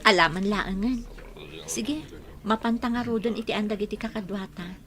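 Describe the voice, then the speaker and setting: Crowd sound sits behind a mid-pitched woman's voice with an Ilocano accent, an actress portraying someone bereaved. She is earnest and soft-hearted, delivering lines as in a radio drama. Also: normal speed, close to an everyday voice. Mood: brightening, grateful. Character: bereaved, brooding woman leaning on friends. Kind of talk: Filipino radio drama acting.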